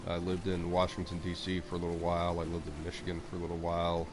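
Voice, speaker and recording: A man's voice: deep voice, monotone, fast talker. A man from Texas and South Florida, casually chatting with viewers while he plays a game. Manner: chatty, talks to chat and coos at pets. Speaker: streamer playing a game. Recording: faint background noise.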